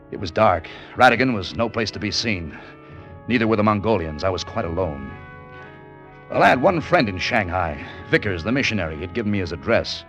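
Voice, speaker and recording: An actor playing a hard-boiled American adventurer in an old radio drama, terse, wry and dramatic. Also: male voice, on the low side, a bit bright, muffled recording. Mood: tense, wary. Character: hard-boiled American adventurer telling his own escape story. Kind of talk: 1940s-50s radio drama acting, first-person narration. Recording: vintage radio with faint music behind.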